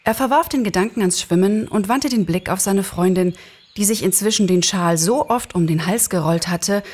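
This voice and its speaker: A woman's voice: mid-range voice, darkish tone. A woman reads a scripted story as narrator in a live performance. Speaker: author and narrator, actress. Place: small theatre, live recording.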